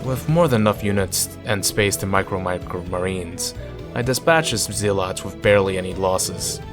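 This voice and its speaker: A man's voice: low voice, moderate pace, over music. A man reading a visual novel aloud in a narrating style, with casual asides.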